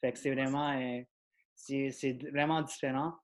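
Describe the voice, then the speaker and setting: A man's voice: medium pitch, little pitch movement, moderate pace. A man hosting a podcast, asking questions in a loose, informal way. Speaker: podcast host. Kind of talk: casual interview questions.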